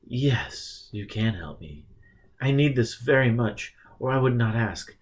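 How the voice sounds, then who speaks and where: A man's voice: medium pitch, expressive. Man acting a pleading, shrinking Transylvanian vampire. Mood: desperate pleading. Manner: halting, apologetic broken English.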